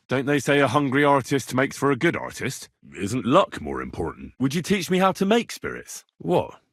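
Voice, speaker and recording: A man's voice: medium pitch, slightly rough, dry recording, studio quality. A man acting an earnest, plain-spoken young medieval man in a lord's service for a video game, with a colloquial, inquisitive manner. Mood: surprised, challenging. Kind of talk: naturalistic game dialogue acting.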